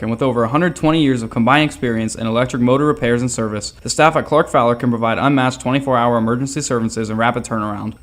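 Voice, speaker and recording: Very brisk American man reading an ad. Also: medium pitch. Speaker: business spokesman in a radio ad. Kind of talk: scripted radio advertisement.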